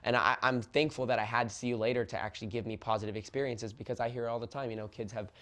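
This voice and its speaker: A man's voice: medium pitch, fairly even intonation, fairly bright tone. A young man from Oregon who coaches youth soccer, telling his story in a casual, earnest interview with plenty of fillers and restarts. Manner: earnest, fast talker, many fillers and restarts.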